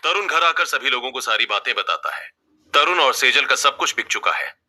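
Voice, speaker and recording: A man's voice: mid-range voice, animated, moderate pace, slight background noise. A man reading a scripted family story as its narrator. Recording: music bed under the voice. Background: loud background music.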